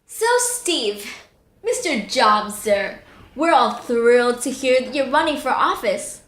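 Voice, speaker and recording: Woman acting a high, animated TV news panelist. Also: takes it slowly. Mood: bright, admiring. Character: female TV news panelist, perky, earnest or starstruck. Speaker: news interviewer. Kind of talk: comedic audio drama, mock news broadcast. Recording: roomy echo, faint background noise.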